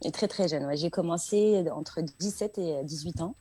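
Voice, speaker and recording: Female interview guest from France, conversational and talkative, slightly low register with a distinctly husky grain. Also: moderate pace, warm tone. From France, Paris region. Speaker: guest singer being interviewed. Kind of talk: casual interview chat.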